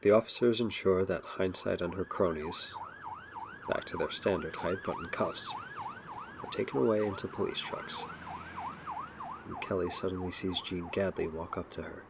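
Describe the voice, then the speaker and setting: A man's voice: low-pitched, huge pitch swings, normal speed, gravelly, noisy background. A man reading scripted narration for an audio drama.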